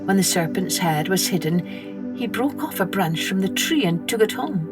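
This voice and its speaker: A woman narrating a scripted children's story in an expressive storytelling manner. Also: medium pitch, moderate pace, loud music behind the voice. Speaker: storytelling podcast host and narrator.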